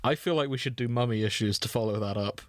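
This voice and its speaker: A man's voice: mid-range voice, fast, no echo, clean studio sound. A man hosting a podcast with casual banter, wry and teasing and happy to swear. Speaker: podcast host and editor.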